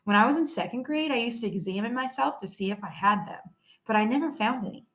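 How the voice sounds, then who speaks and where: A woman's voice: fairly high pitch, animated, quick pace, fairly bright tone, low-quality recording. A woman teacher reading a children's story aloud expressively, stressing key words.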